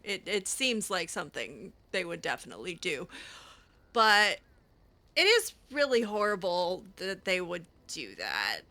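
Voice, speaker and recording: Woman doing gamer roleplay, slow and chatty, bright voice, bit hesitant and wry. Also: lowish voice, big pitch swings. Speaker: gaming channel host roleplaying a mage character. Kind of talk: improvised in-character roleplay commentary, conversational.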